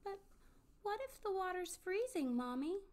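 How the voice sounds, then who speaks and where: A woman voicing a small, childlike, excitable young boy character in a storybook reading. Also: very high voice, unhurried, voice pushed up, heavily acted. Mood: anxious, timid. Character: frightened then joyful small young male child, Pip-Pip.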